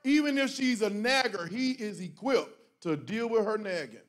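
A middle-aged pastor with a strikingly high voice for a man, speaking to his church from the stage on a handheld mic. He talks in a joking, preachy, animated way, punching lines with loud bursts. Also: moderate pace. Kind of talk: preachy conversational talk to a congregation. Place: church stage before a congregation, indoors.

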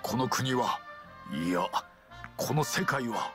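A man's voice: medium pitch, expressive, takes it slowly. A man playing a solemn old king in a fantasy video game, speaking in a grand, formal, commanding manner. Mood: grave, remorseful. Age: older. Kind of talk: dramatic video game voice acting. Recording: game audio with music behind.

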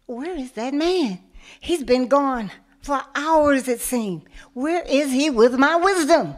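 A woman voicing a boastful, impatient male tiger in a folktale, calling out high and loud in a demanding way. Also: expressive, slow.

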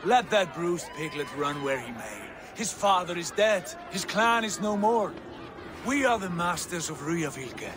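An actor playing a proud, commanding Viking lord in a game, declaiming with authority. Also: male voice, high voice, lively intonation, measured pace, crowd noise. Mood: firm, assured. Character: commanding, jubilant Viking lord, elder brother. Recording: noisy outdoor ambience behind.

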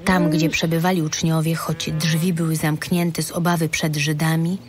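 A woman's voice: low voice, level tone, normal speed. A woman narrating the Gospel in a scripted, dramatized audio Bible production. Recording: studio voice over loud music. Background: music.

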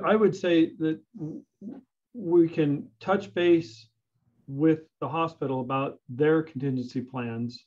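Higher-voiced man on a dull video call. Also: a little mellow. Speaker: city public works staff member. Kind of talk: measured meeting discussion.

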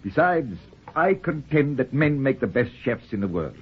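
An actor playing a pompous, fussy middle-aged gentleman in 1940s radio style, with a husky, exasperated, theatrical delivery. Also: mid-range voice, very animated, moderate pace. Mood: jaunty, grand. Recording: muffled vintage 1940s radio broadcast.

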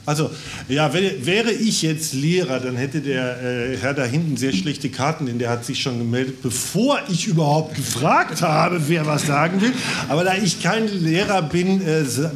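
A man's voice: on the high side, normal speed. A man in his forties moderating a panel informally and with light humour, handing out turns to the audience. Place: conference room at a panel table. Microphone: table microphone. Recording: clear background room noise.

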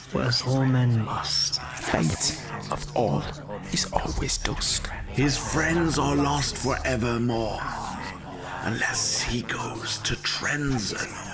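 Men voicing the Whisper Men in a fan audio drama, chanting slow rhymes in a hoarse, breathy whisper. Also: male voice, mid-range voice. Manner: slow rhyming chant, several voices in turn. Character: eerie faceless male Whisper Men, menacing chanting spirits.

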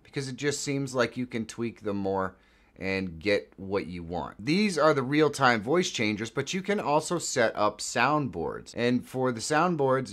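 A man presenting a software walkthrough in an informal, chatty way, as a voice-over on a close mic. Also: mid-range voice. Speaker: tutorial presenter.

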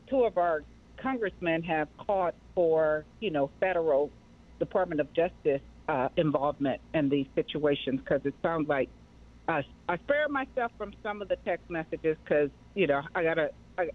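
A woman's voice: low voice, normal speed. A middle-aged woman with an American accent, talking conversationally as an interview guest. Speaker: guest, mental health nonprofit director. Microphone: remote call. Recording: narrow tinny radio-like call audio.

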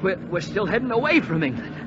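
Excitable young airman, high voice, slangy, 1940s radio drama, muffled recording, heavy noise. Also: quick pace. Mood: strained, relieved. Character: eager young bomber crewman, wisecracking, excitable, scared but willing. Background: loud aircraft engine noise.

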